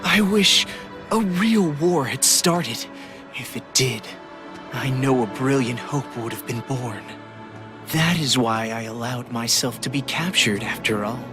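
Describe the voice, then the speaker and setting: A man's voice: mid-range voice, measured pace, music in the background, lightly acted. An actor playing an eerily polite young man obsessed with hope, speaking in a theatrical, wistful way in a game cutscene. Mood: wistful, fervent. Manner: theatrical, wistful, formal wording. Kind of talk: video game cutscene acting.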